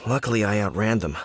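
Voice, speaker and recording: A man's voice: on the low side, a little hiss or hum, close to an everyday voice. A man playing a flustered, anxious village delivery carter in a video game.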